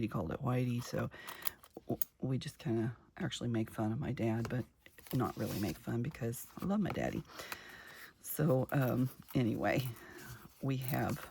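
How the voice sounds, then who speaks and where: Gravelly, chatty woman crafter. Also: rumbling low, noisy background. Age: middle-aged. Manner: chatty, rambling, homey storyteller. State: gravelly from just waking. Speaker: craft vlogger. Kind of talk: casual crafting chat.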